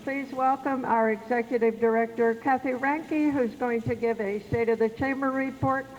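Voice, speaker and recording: Gracious Southern Indiana moderator, muffled hall. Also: female voice, fairly high pitch, steady tone, moderate pace. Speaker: event moderator. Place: banquet hall. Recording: dull sound in a reverberant hall.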